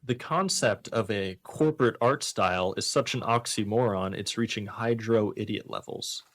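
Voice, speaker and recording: Low-voiced man hosting comedy radio. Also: moderate pace, fairly bright tone, no echo. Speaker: radio show host. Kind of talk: casual comedy banter on college-style radio. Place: small radio studio.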